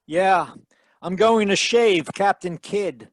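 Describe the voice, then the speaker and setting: An actor playing a wisecracking hard-boiled private detective in a 1940s radio style, with a wry, glib manner. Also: male voice, high-pitched, takes it slowly, no room sound. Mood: brisk, decisive.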